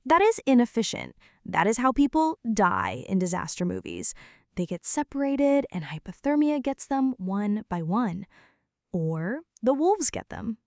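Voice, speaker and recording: A woman's voice: mid-range voice, huge pitch swings, measured pace, dry recording, clean studio sound. A woman playing a flirty, playfully bossy friend in an intimate, scripted ASMR roleplay, speaking straight to the listener with mock-dramatic exaggeration. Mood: playfully insistent.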